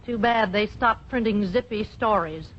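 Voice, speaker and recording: A woman's voice: medium pitch, a little slow, fairly bright tone, lightly acted. An actress playing a wry, man-hungry older aunt in a vintage radio comedy, emphatic and wisecracking. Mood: dry, wry. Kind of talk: 1930s-40s radio comedy acting. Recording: dull, noisy vintage radio broadcast recording.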